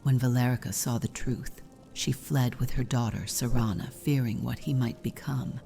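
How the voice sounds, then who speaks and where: A woman playing a solemn vampire mother in a fantasy game roleplay, speaking in a grave, measured, breathy voice that often nears a whisper. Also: extremely low pitch, fairly even intonation, mellow, soft background music. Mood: grave confiding. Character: solemn vampire mother confessing her past to her daughter. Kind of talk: scripted fantasy roleplay dialogue.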